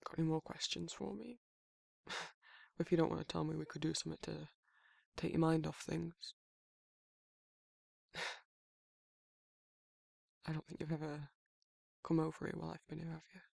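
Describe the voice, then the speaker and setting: Man playing gruff caring werewolf, Northern English accent, husky, slightly higher male pitch, hesitant, informal, ASMR roleplay. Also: level tone, moderate pace, long pauses, a little mellow, no room sound, studio quality. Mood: awkward, hesitant. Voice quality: husky, gravelly. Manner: hesitant, informal, stumbling over feelings. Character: gruff but caring young male werewolf, awkwardly protective.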